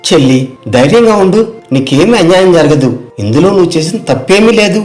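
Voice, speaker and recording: Man playing a reassuring elder brother, higher-pitched. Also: animated, fast talker, roomy sound, natural voice. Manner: gentle consoling. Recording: music behind the voice.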